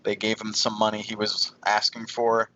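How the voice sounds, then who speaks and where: A man's voice: lowish voice, completely flat delivery, moderate pace, bright, forceful tone, lo-fi sound. A man co-hosting a podcast, explaining his opinion in casual commentary. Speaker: podcast co-host.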